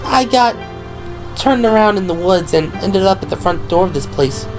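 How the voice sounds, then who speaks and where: A man's voice: high voice, animated, moderate pace, pitched above the performer's own voice, low-quality recording. A man voicing a nervous, babbling eighteen-year-old female rookie medic in an audio drama, self-deprecating in manner. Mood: nervous, apologetic. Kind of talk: audio drama character acting. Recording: music behind the voice.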